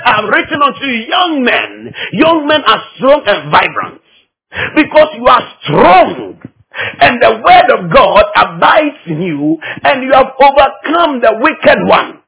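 Ghanaian guy preaching, high pitched voice that swings up and down a lot, really emphatic. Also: creaky, a bit bright, breathy edge, low-quality recording. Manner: emphatic, repeats phrases for effect. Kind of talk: teaching sermon.

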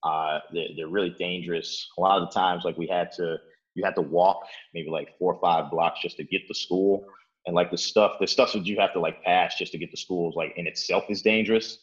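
A young man with a very deep, low voice talks through an interview question in a loose, meandering way, peppering his sentences with "like" and "uh" and restarting often. He's from Chicago and speaks from his apartment. The recording sounds a bit muffled and dull. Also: fairly even intonation, a little fast. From Chicago, Illinois. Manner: rambling, many fillers like "like" and "uh", restarts. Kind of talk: casual interview, storytelling.

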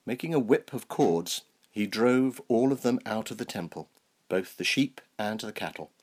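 A clergyman with a fairly low, noticeably husky voice. He speaks as if from prepared notes, measured and explanatory, in the style of a sermon or a reading from scripture. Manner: measured, explanatory, rhetorical questions. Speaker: clergyman, Anglican canon.

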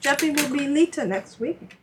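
A woman's voice: sits high, animated, normal speed, crowd noise. A woman hosting a gaming podcast, upbeat as she thanks the guests and chat room. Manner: upbeat, thanks everyone and wraps up. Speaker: host.

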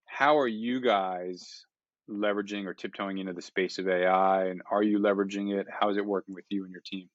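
A man's voice: low voice, steady tone, a little fast, no room sound. A man hosting a podcast interview, asking follow-up questions and summing up his guest's answers in a friendly, casual way. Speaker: podcast host. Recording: dull, dry lo-fi sound.

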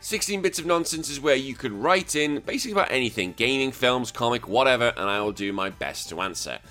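A man hosting a retro gaming podcast in casual chat, a bit croaky, blunt and joking, swearing freely. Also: medium pitch, animated, a little fast, sharp tone, faint music behind. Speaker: podcast host.

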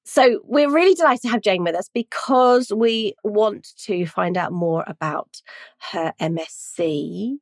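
A woman's voice: mid-range voice, measured pace, dry recording, studio quality. A woman hosting a podcast, introducing the show and her guests with enthusiasm. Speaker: podcast host.

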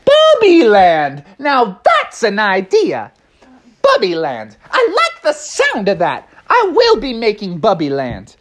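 A storyteller voicing a scheming zookeeper who turns into a theme park showman, with drawn-out theatrical exclamations. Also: medium pitch, big pitch swings, a bit bright, no echo, voice pushed up.

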